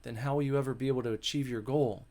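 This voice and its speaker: A man's voice: medium pitch, steady tone, fast, dark tone, close to an everyday voice. A man voicing a composed, matter-of-fact young woman who is caring and determined, in a dramatic visual novel reading. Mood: curious. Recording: music behind the voice.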